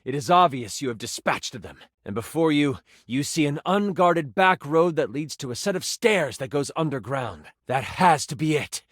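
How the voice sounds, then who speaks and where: A man voicing an excitable, nerdy game master, narrating tabletop fantasy dramatically and dropping into casual, hesitant asides. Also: fairly high pitch, moderate pace, few pauses, no echo, studio quality. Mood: dramatic. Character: living D20 die, excitable nerdy male game master.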